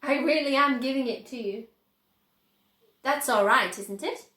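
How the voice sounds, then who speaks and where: A woman's voice: high-pitched, unhurried, fairly bright tone, echoey room. A woman voicing an eccentric, exuberant old man who owns a chocolate factory, speaking earnestly and emphatically in a storybook reading. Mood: pleased.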